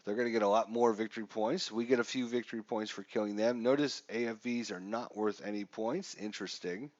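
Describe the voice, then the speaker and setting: A man's voice: lowish voice, steady tone, fairly quick, no room sound. Stuffy-nosed man hosting a game stream. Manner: casual, chatty with frequent fillers. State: stuffy, congested. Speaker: live stream host. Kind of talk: live wargame walkthrough. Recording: dull, dry lo-fi stream audio.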